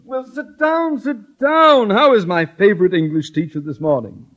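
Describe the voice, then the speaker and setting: A man's voice: high voice, moderate pace, a bit bright. A man playing a pompous, scheming middle-aged school principal with formal, theatrical diction in an old-time radio comedy. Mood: falsely sweet. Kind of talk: 1940s-50s radio comedy acting. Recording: dull old radio recording.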